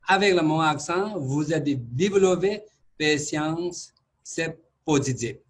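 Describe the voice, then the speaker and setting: A Buddhist monk with a Tibetan accent mixed with some Quebec French, giving a conference talk in halting, broken French, calmly repeating key words in short phrases. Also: male voice, medium pitch.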